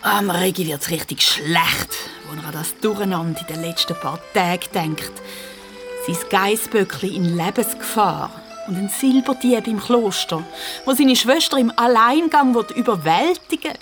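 A woman's voice: mid-range voice, expressive, moderate pace, music in the background, lightly acted. A woman with a Swiss German accent narrating a children's radio play in a vivid, dramatic storytelling manner. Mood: wry.

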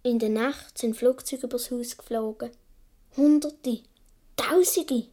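An imaginative little Swiss boy in a radio play, with a high, soft-toned voice. He tells his world earnestly and dreamily. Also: slow. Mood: dreamy, earnest. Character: imaginative young Swiss boy of seven or eight. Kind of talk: radio play monologue, childlike storytelling.

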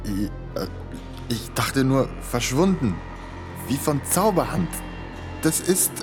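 A man's voice: medium pitch, very animated, slow pace, music in the background. A man playing a curious, awkward young circus newcomer in a radio play, casual and hesitant, with a Swiss German accent. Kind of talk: radio play narration and dialogue.

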